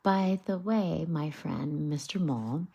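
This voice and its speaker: A woman's voice: deep voice, slow, vocal fry, warm tone, dull audio, a little hiss or hum, heavily acted. A woman voicing a friendly male water rat in a children's storybook, cheerful, hearty and chatty.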